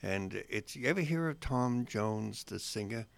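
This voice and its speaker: Gravelly joking New radio man. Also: lowish voice, lively intonation, unhurried. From Queens, New York. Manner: joking, teasing. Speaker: radio show co-host. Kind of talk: casual radio banter.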